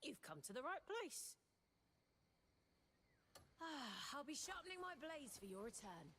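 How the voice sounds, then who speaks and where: A woman voicing a brisk female meat vendor in a video game, delivering short sales lines. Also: sits high, sing-song, sharp tone, slight background noise, full character voice. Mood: salesy. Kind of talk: video game character dialogue.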